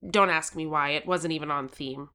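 A woman playing an excitable, cocky thirteen-year-old contestant telling their story in a scripted audio drama. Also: low-pitched, normal speed, smooth. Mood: wry narrating. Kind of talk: scripted audio drama, first-person narration with acted lines.

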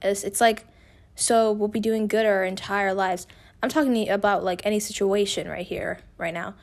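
Young female podcast host, a bit raspy from illness, candid rambling delivery. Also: mid-range voice, fairly even intonation, normal speed. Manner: candid, rambling, with frequent restarts. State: raspy from feeling unwell. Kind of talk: casual personal podcast monologue.

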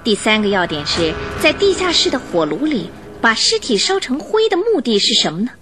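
A woman narrator telling a scripted radio drama story in an expressive, suspenseful style. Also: fairly high pitch, moderate pace, a little hiss or hum. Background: music under the voice.

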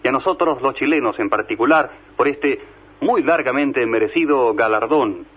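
A man with a husky voice speaking as a formal radio interviewer in a ceremonious, courteous way. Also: medium pitch, moderate pace, fairly bright tone. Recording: dull old radio recording.

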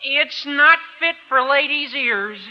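An actor playing a glum, excitable American teenage schoolboy, aggrieved and adolescent, in an old radio comedy. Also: male voice, very high voice, slow. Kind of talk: 1940s-50s American radio comedy acting. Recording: noisy, muffled old-time radio recording.

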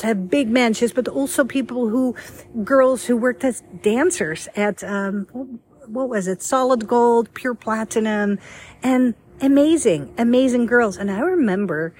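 A woman's voice: on the high side, slight background noise. Chatty woman telling stories. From Netherlands. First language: Dutch. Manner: chatty and lively, acts out quoted dialogue. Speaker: speaker sharing her life story. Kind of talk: casual personal storytelling.

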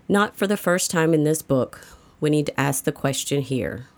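A woman's voice: deep voice, darkish tone. A woman reads a prepared devotional reflection. Her delivery is that of a scripted reader, at an ordinary pace with a moderate tone. She speaks as the narrator of a daily faith reading.

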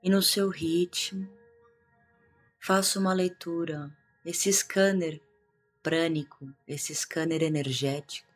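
A Brazilian woman guiding a meditation in a calm, hushed manner with long pauses. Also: deep voice, slightly rough. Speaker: meditation guide, integrative therapist. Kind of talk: guided meditation. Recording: music behind the voice. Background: meditation music.

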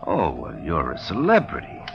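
A man's voice: very deep voice, huge pitch swings, normal speed, vocal fry, heavily acted. An actor playing a slick, mocking gangster's henchman in a 1950s radio crime drama, talking wry tough-guy slang with false politeness. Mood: sly, teasing. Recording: muffled, noisy 1950s radio recording.

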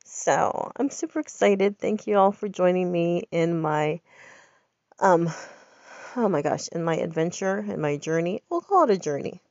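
A woman's voice: lowish voice, animated, measured pace, a little mellow, no echo, highs cut off. A woman, congested from a head cold, chatting in a rambling, upbeat way as a podcast host. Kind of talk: casual personal chat.

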